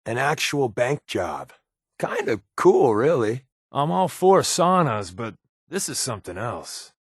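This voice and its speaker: A man's voice: medium pitch, big pitch swings, measured pace, slightly rough, dry recording, clean studio sound, full character voice. A man playing a wry, laid-back spy companion in a post-apocalyptic video game, given to sardonic asides. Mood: wry, casual.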